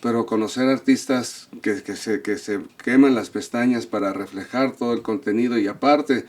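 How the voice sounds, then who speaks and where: A man's voice: medium pitch, moderate pace, darkish tone. A man co-hosting a tarot channel interview, speaking casually and rambling with repeated words.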